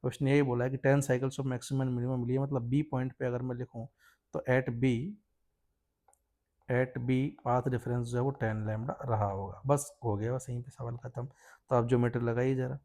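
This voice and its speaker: A man's voice: mid-range voice, little pitch movement, normal speed, warm tone. A man with an Indian accent, a physics teacher explaining a worked problem step by step and checking understanding with short tags.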